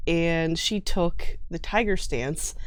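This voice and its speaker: A woman's voice: lowish voice, slow pace, no echo. A woman chatting casually as a show guest, playful and joking with a self-deprecating streak. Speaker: show guest, cast member. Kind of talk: casual chat.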